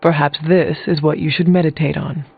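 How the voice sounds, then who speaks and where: An actor playing a level-headed, earnest warrior hero in a video game, with a measured and formal way of talking. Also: male voice, a little high, fairly even intonation, creaky, husky. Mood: supportive. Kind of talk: video game character dialogue. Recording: phone-like band-limited sound under music. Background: music.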